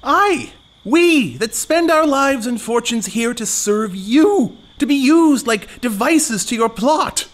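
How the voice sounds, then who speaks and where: A woman's voice: fairly high pitch, lively intonation, takes it slowly. A woman playing a scheming, cheeky male servant in an audio farce, with a bustling, bossy, mock-grand delivery. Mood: cheeky defiance. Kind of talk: theatrical period farce in an audio play.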